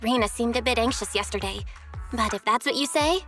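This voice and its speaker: A woman's voice: on the high side, brisk, over music, close to an everyday voice. A woman playing a friendly, perceptive young woman in a video game, casual and direct. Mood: curious, sincere. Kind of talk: scripted video game voice acting.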